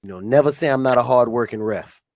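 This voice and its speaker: A man's voice: mid-range voice, fast. A man co-hosting a wrestling podcast as a referee, chatting casually with nervous, stammering restarts.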